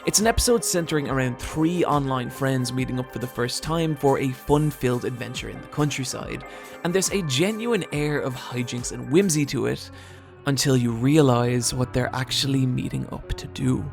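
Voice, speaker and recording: Gravelly man narrating a video essay. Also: medium pitch, moderate pace. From Britain. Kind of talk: scripted narration. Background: soft background music.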